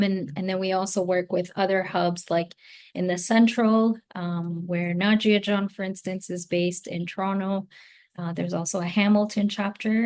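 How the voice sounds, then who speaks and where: A woman from Afghanistan hosting an online meeting, speaking thoughtfully with many pauses and ums. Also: on the low side, darkish tone. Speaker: host and circle coordinator. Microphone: video call.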